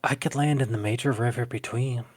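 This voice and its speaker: A man's voice: medium pitch, steady tone, brisk, husky, dry recording. Man chatting in a dry-sounding space. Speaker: tabletop game player. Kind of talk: casual game chat.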